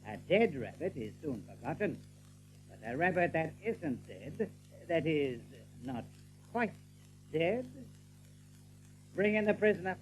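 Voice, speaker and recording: A commanding male rabbit general holds forth in an audiobook drama. The voice sits on the high side, measured and formal with cool menace. A faint hiss and hum lie under it. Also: big pitch swings, rough recording. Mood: quietly menacing.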